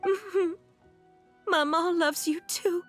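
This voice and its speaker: A woman voicing a frail, loving, sorrowful mother in a game, soft and breathy with a tender, halting delivery. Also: very high voice, steady tone, soft background music, exaggerated voice. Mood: apologetic, tender. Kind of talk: emotional game dialogue.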